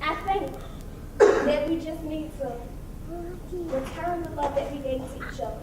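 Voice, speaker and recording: A woman's voice: high-pitched, fairly even intonation, unhurried. An actress playing a hurt, exasperated young woman ending a romance, speaking emphatically and pleadingly in a theatrical stage style. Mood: resigned. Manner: emphatic, pleading, repeats words. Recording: distant, echoey hall recording with audience noise.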